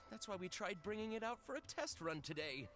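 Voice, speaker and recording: A man's voice: high-pitched, sing-song, normal speed, a bit bright. A man voicing an anxious young male toy maker in a game, apologetic and unsure. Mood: worried. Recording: game audio with faint background music.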